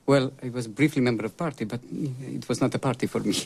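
An actor playing a nervous, easily flustered Russian obstetrician with a Russian accent, speaking broken, muddled English in a comedy film. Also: male voice, medium pitch, fast talker, a little mellow, husky. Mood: awkward, eager. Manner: broken English with muddled words and misplaced formality.

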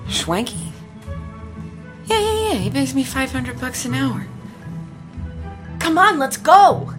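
Actress as mocking female captive, highish voice, swooping delivery, sarcastic, defiant, drama with music behind. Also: sing-song, slow pace, exaggerated voice. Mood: exasperated. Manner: sarcastic, scornful, foul-mouthed. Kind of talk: dramatic script performance.

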